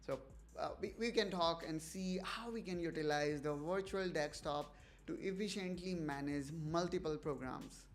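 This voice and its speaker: A man with a fairly high voice hosts a casual livestream, speaking hesitantly and filling pauses with ums and restarts as he checks in with viewers. Faint music plays underneath. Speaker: livestream host.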